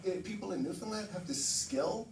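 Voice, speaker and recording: A man from Barbados doing a stand-up comedy set, swearing and jumping high in pitch for punchlines. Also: animated, a little fast, roomy sound, recorded from a distance, a little hiss or hum. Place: comedy club stage with audience. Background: audience laughter.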